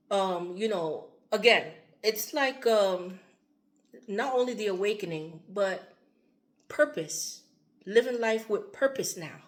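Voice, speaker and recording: A woman giving a loose, rambling tarot reading, her voice a little strained as she starts to lose it. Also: medium pitch. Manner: loose and repetitive, fillers and asides. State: losing her voice. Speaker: tarot reader.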